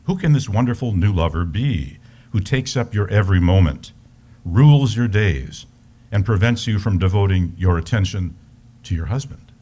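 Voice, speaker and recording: Scorned emperor husband, played by a man, low husky voice, big dramatic swings, accusing stage delivery. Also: expressive, normal speed, mellow. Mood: accusing, wounded. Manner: theatrical, emphatic, accusing. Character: jealous, scornful, wounded Napoleon berating his wife. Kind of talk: dramatic reading of a love letter on stage.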